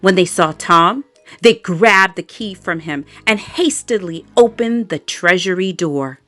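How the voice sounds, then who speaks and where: A woman telling a children's bedtime story aloud in an expressive way, adding playful sound effects. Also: fairly low pitch, unhurried, no room sound, faint music behind.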